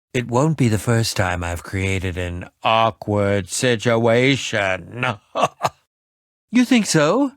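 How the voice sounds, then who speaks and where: A man's voice: medium pitch, dry recording, studio quality. An actor playing an eccentric, self-important man who fancies himself a ninja in a video game, speaking in a pompous, dramatic, wordy manner. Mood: musing. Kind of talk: animated video game voice acting.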